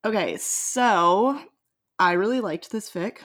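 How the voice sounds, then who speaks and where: A woman's voice: medium pitch, slow pace, fairly bright tone. A woman co-hosting a podcast, chatting in an enthusiastic, informal way and leaning on "like" a lot. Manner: informal, enthusiastic, says "like" constantly. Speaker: podcast co-host and interviewer. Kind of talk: casual discussion.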